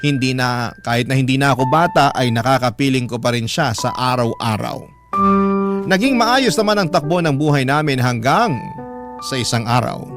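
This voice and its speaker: A Filipino man narrates a scripted personal letter in a mid-range voice. His delivery is measured, dramatic and heartfelt, with clear music playing behind him. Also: medium pitch, expressive. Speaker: radio storyteller reading a listener's letter. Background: music bed.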